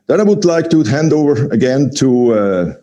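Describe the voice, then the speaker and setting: A man giving a live-streamed business presentation in halting English, full of fillers and searching for words. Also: medium pitch, animated, mellow. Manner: halting, many fillers, non-native phrasing. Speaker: company presenter.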